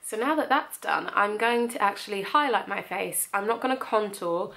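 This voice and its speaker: A woman's voice: medium pitch, animated, normal speed, vocal fry, fairly bright tone. Young woman, beauty vlogger, mild cold, nasal, casual tutorial chat. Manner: chatty, friendly, lots of ums. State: has a cold, slightly nasal.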